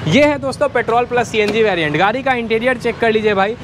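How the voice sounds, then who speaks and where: A man's voice: very high-pitched, quick pace. A man, hosting an enthusiastic walkthrough vlog of a used-car lot and talking straight to his viewers. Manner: enthusiastic, addresses viewers as friends and brothers. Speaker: vlogger host. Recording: outdoor street noise.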